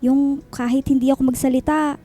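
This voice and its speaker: A woman's voice: high-pitched, level tone, fairly quick, dark tone, close to an everyday voice. A woman with a Filipino accent playing a cheerful, teasing young woman chatting with her best friend in a radio drama. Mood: happy, affectionate. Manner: playful, casual.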